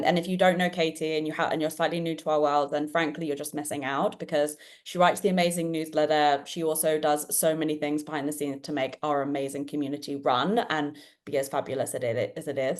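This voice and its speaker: Chatty woman hosting a panel, lowish voice. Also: a little fast. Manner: energetic, chatty, jokey. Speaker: panel host. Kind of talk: online panel moderation.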